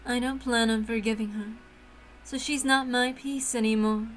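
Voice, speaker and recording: Proud, dismissive witch for a visual novel, cool and clipped, high female register, read by a woman. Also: fairly even intonation, normal speed, fairly bright tone, a little hiss or hum, natural voice. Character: proud, cold witch, grudging and curt.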